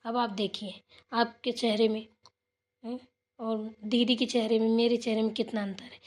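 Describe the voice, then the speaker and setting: A high female voice of an actress plays a generous elder sister-in-law in a village cartoon. She speaks earnestly and reassuringly, persuading in a homely, gentle way. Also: flat delivery, normal speed, close to an everyday voice. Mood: earnest, sympathetic. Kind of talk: Hindi family moral cartoon dialogue.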